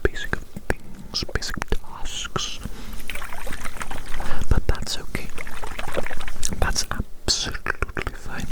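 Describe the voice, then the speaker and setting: An ASMR performer playing an evil doctor in a breathy whisper, repeating phrases with clinical, condescending fondness for a tiny specimen. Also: close to an everyday voice, rough recording. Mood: doting. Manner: slow repetitive murmuring, clinical yet doting. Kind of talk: ASMR medical roleplay.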